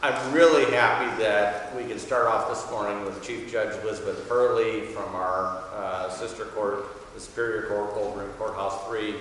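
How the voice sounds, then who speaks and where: Man, 60s, magistrate making introductions. Lowish voice, halting delivery, heard from a distance in a big reverberant room. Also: steady tone, fairly bright tone. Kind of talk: informal welcome speech. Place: wood-paneled courtroom. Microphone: podium mic, standing back from it. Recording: very echoey hall, distant mic, faint noise.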